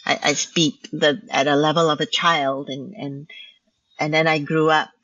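A choreographer whose first language is Hokkien, speaking in a reflective conversation over a video call, thoughtful and halting, with many restarts. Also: female voice, low-pitched, little pitch movement, a bit bright. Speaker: choreographer and teacher. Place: home in Vancouver. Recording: dull lo-fi video call audio.

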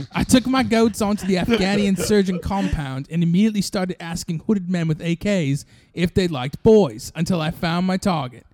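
A man's voice: high-pitched, normal speed, a little mellow. A man co-hosting a comedy podcast, riffing an absurd improvised story in a breezy mock-earnest tone and chuckling along. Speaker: podcast co-host.

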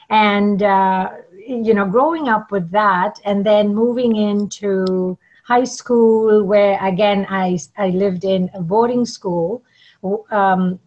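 A woman's voice: mid-range voice, a little mellow, highs cut off. A middle-aged woman from Trinidad and Tobago, telling her story conversationally as an interview guest. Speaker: interview guest, networking coach.

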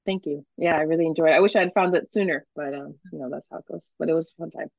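A woman's voice: low-pitched, very fast, creaky, no echo, narrow, tinny audio. A woman from Miami, a professor, answering interview questions in a fluent, explanatory way with frequent fillers. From Miami, Florida. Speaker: professor and guest.

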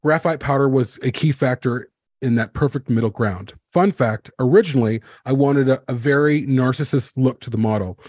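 A man's voice: medium pitch, normal speed, darkish tone, no echo, clean studio sound. A man hosting a podcast roundtable, talking and reading out written replies. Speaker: podcast host.

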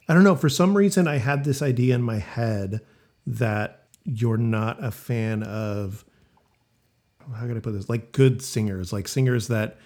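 A man hosting a casual music podcast, talking loosely with lots of fillers and quick agreements. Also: medium pitch, a little mellow. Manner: laid-back, many fillers and quick agreements. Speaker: podcast host.

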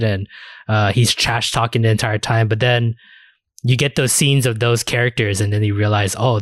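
A man's voice: fairly low pitch, dry recording, studio quality. A man hosting a casual anime discussion, talking in a rambling, slangy way with casual swearing. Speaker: podcast host.

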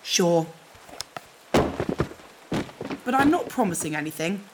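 A woman voicing a shady, matter-of-fact alien bear-like repair trader in a sci-fi audio drama, deadpan and clipped. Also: on the low side, slow, heavy background noise. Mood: sceptical.

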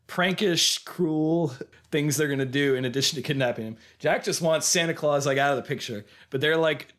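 A man's voice: medium pitch, fairly bright tone. American man with a cold, chatting casually. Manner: rambling, jokey, conversational. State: has a cold, losing voice. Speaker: podcast co-host. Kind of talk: casual film discussion.

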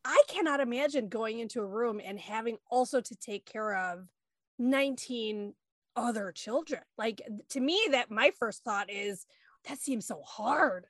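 A woman's voice: on the high side, no echo, clean studio sound. A woman co-hosting who chats casually and laughs easily. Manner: chatty and quick to laugh. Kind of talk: casual chat.

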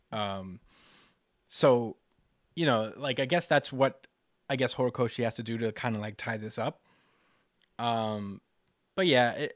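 A man's voice: on the low side, no room sound. A man in casual analytical discussion as a podcast co-host, speaking thoughtfully and searching for words as he goes.

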